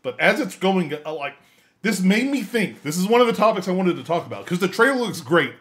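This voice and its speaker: A man's voice: high-pitched, very animated, brisk, fairly bright tone. A man hosting a podcast in a casual, conversational way, with an enthusiastic manner. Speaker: podcast host. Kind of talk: casual chat.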